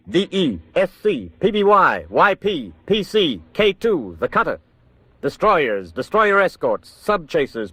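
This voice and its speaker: An actor playing a precise, authoritative announcer in a wartime documentary radio drama. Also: male voice, on the high side, fairly bright tone, a little hiss or hum, heavily acted. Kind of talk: formal documentary radio narration.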